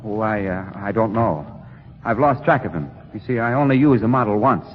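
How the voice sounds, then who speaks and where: An actor playing a proud, curt sculptor in a 1940s radio drama. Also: male voice, fairly low pitch, expressive, fairly quick, in a large hall, slight background noise, close to an everyday voice. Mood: evasive. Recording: dull 1940 radio recording, crowd murmur.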